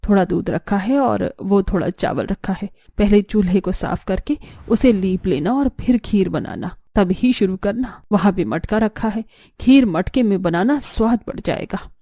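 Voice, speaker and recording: A woman acts as a simple, homely mother-in-law in a cartoon story. Her voice is mellow and medium in pitch, affectionate and approving, with music underneath. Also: a little slow, no room sound, studio quality. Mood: affectionate, instructing. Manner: affectionate, praising. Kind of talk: cartoon story dialogue acting.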